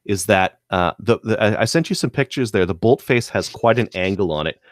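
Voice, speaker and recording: Low-voiced man chatting casually, close and dry. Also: lively intonation, brisk, dry recording. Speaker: podcast co-host. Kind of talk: casual gun-hobby chat.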